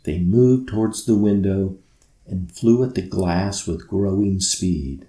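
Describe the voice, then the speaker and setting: A man's voice: sits low, slow pace, warm tone. A man reading his own children's story aloud as scripted storybook narration. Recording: roomy echo.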